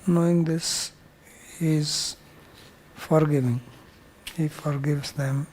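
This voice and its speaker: A man's voice: fairly high pitch, fairly even intonation, slow pace, a little mellow. A man speaking as a spiritual teacher, explaining scripture verse by verse in a plain-spoken way.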